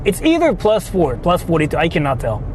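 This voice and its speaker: A young Japanese man speaks English with a Japanese accent, casually and excitably, swearing freely as he chats with his viewers. His voice sits high and leaps all over the place. Loud street traffic runs behind him. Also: a little fast. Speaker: streamer. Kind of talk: casual live-stream chat. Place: outdoors on city streets.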